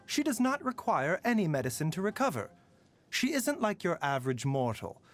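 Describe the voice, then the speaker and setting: A calm, courteous pharmacist speaks softly and with measured care. His fairly high voice rises and falls with lively, wry turns, over faint game music. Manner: soft-spoken, measured, politely wry. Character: gentle male pharmacist doctor, calm and courteous. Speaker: game character voice lines. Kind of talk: scripted game voice lines.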